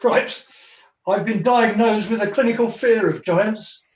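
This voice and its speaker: A man's voice: high-pitched, a little slow, reverberant, lo-fi sound. A man playing a weary, melodramatic jester in a comic pantomime, speaking in flowery mock-archaic phrases over a video call. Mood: comic, courtly. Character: melodramatic weary jester, a strolling player on a quest.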